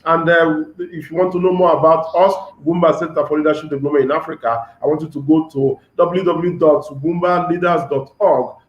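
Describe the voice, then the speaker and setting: A man hosting an interview show, speaking deliberately and repeating web addresses slowly. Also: a little high, dull audio. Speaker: talk show host. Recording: echoey room.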